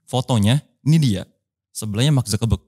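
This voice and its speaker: Fast-talking young man with Chinese Medan accent. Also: mid-range voice, warm tone, husky. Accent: Chinese Medan Indonesian. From Medan, Indonesia. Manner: quick, playful, jokey storyteller. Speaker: guest musician and YouTuber. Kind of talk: casual podcast chat. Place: small podcast studio. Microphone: studio mic on a stand, with headphones.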